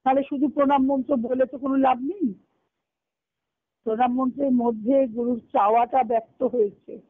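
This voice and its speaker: A woman's voice: high-pitched, fairly even intonation, moderate pace, no room sound. A woman giving a devotional discourse as a spiritual teacher, explaining by asking and answering her own questions and quoting Sanskrit verses. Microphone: conference call line. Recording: phone-like narrow-band conference call.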